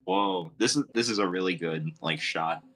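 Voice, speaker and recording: A man's voice: deep voice, moderate pace, a bit bright. A man chatting casually and interjecting comments as co-host of a comic readalong.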